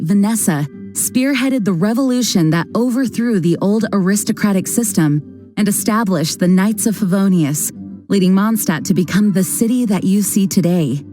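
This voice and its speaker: A woman playing a calm, formal knight leader. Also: mid-range voice, close to an everyday voice. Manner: measured, formal, composed. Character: calm dutiful female knight leader, composed. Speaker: knight commander. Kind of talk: video game voice acting.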